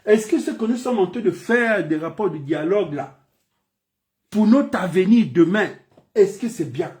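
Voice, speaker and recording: Emphatic high-voiced Gabonese man. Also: lively intonation, normal speed, darkish tone. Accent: Gabonese French. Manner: emphatic, repeats phrases, rhetorical questions. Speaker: political commentator. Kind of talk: impassioned political speech.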